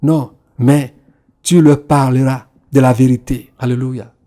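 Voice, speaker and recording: A man's voice: mid-range voice, level tone, measured pace, dark tone. A man, repeating phrases and punctuating them with hallelujah. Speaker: preacher. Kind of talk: sermon.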